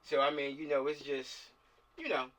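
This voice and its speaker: Bright, higher-voiced man on a distant mic. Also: steady tone, normal speed. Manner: slangy, repeats himself for emphasis. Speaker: filmmaker leading a script table read. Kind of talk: casual chat and directing actors. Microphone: video call. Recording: distant mic on video call.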